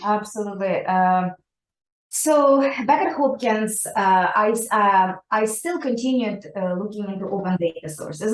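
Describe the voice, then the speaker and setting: Woman guest, Ukrainian first language, mid pitch, thoughtful with many fillers, echoey room. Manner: thoughtful, many fillers and restarts, ends points with "right?". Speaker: guest scientist. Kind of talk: conversational interview answer.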